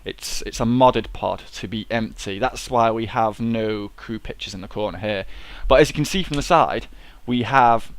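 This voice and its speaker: A man's voice: medium pitch, no echo. A man with a cold, casually rambling and swearing as he plays and talks through a space game at his computer. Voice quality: swears freely, rambling and chatty. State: sniffly with a cold. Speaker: gameplay commentator. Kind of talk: casual let's-play commentary.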